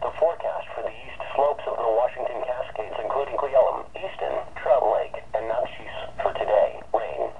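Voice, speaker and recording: A synthetic-sounding man reading an automated weather bulletin in a measured, formal way through a radio speaker. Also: mid-range voice, lively intonation, vocal fry. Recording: muffled, noisy weather radio broadcast.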